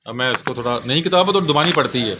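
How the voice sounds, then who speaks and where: Male seller, Pakistani accent, mid-pitched voice, informal walk-through delivery, noticeably noisy recording. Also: animated, quick pace. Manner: repeats a polite verbal tag at sentence ends. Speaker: bookseller presenting products. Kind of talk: casual product overview, unscripted.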